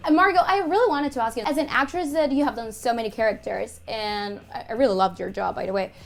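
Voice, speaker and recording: A woman interviewing actors, chatty and complimentary, with halting phrasing. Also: fairly high pitch, gravelly, background noise. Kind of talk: casual interview questions.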